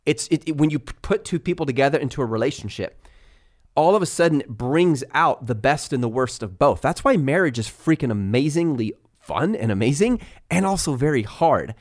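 A middle-aged man co-hosting a podcast in a relaxed yet emphatic way. His delivery is animated, and he tells stories at an ordinary male pitch. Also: brisk. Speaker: podcast co-host, business owner. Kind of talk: casual motivational chat.

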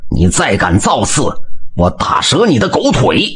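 A burly, hot-headed village blacksmith from an audio storybook, as a man puts him on, gruff and blunt with a deep raspy grain. His talk rises and falls with force. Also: big pitch swings, normal speed, full character voice. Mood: threatening.

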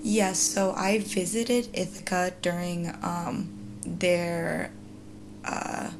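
A woman's voice: fairly low pitch, steady tone, extremely slow, creaky, music in the background, low-quality recording. A young woman in her twenties, a student athlete whose first language is Mandarin, answering interview questions with many hesitant ums. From China. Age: 20s.

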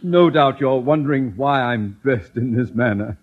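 An actor playing a pompous, scheming middle-aged school principal in an old radio sitcom, wordy and theatrical. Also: male voice, mid-range voice, moderate pace, close to an everyday voice. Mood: pompous, ingratiating. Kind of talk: 1950s radio sitcom acting. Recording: muffled, noisy old-time radio recording.

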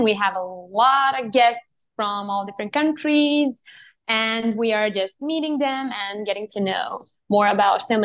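Slow-speaking Serbian woman, laughing often. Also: a little high. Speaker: actress guest. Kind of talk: video call interview.

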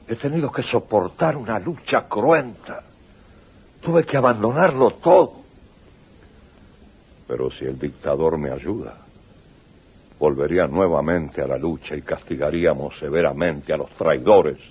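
Radio-play exiled commander: very low, muffled husky voice, proud, weary, grave. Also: male voice, a little slow, pauses often, a little mellow, exaggerated voice. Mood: fervent. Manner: grave, declamatory. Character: weary, proud aging soldier, exiled leader. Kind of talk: 1980s radio drama acting. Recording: muffled old radio recording.

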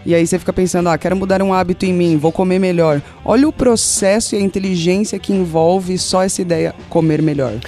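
A man's voice: high voice, steady tone, brisk, few pauses, dark tone, soft background music. A man guesting on a podcast, musing reflectively in casual chat.

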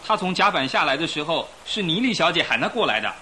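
Skeptical, opinionated male traveller, higher-pitched lively voice, scoffing radio-play delivery, noisy background. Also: fast. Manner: dismissive, scoffing. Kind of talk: Chinese radio drama acting.

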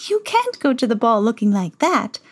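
Storybook fairy: a woman doing a kindly, forgetful godmother, high and fluttery. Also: very animated, normal speed. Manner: kindly, scatterbrained. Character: gentle, fussy fairy godmother, older woman.

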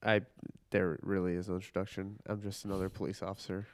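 A man in his late twenties from Illinois talks as a podcast guest. He often hesitates and trails off mid-sentence. His voice sits fairly low, recorded close and dry. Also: level tone, dry recording. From Illinois, USA. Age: 20s. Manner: hesitant, halting, mild-mannered. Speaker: police officer, podcast guest. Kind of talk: casual chat.

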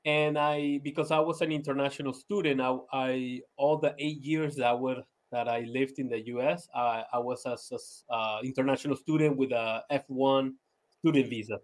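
A man's voice: medium pitch, fairly even intonation, moderate pace. Laid-back Venezuelan-born man, chatty. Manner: laid-back, laughs often, many "you know" fillers and restarts. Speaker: podcast guest. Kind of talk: casual interview chat.

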